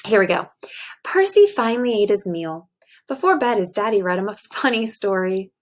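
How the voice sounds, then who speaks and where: A woman's voice: mid-range voice, very animated, moderate pace. A woman teacher reading aloud and chatting casually to young children in an encouraging way. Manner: encouraging, asks the listeners questions.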